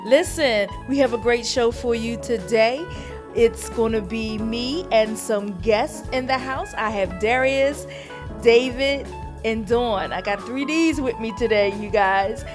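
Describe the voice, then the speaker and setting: A woman's voice: on the high side, unhurried, music in the background. A woman in her fifties hosting a casual relationship talk show on the radio. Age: 50s.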